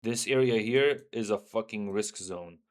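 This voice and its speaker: A man's voice: fairly low pitch, measured pace. A young man from Syria, streaming, talking loosely and bluntly, swearing and often tagging "right?" onto his points. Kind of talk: casual livestream talk.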